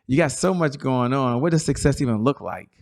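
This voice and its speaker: A man's voice: medium pitch, lively intonation, fairly quick, creaky, darkish tone. Enthusiastic talk show host, man. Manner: enthusiastic, long-winded questions, addresses the audience. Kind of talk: interview host chat.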